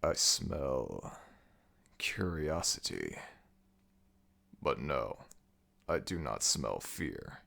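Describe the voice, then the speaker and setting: A man playing an ancient, stern jackal god of the dead in an ASMR audio roleplay, speaking in an imperious, deliberate, menacing way. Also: very deep voice, sing-song, gravelly, bright, forceful tone, heavily acted. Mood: menacing, curious.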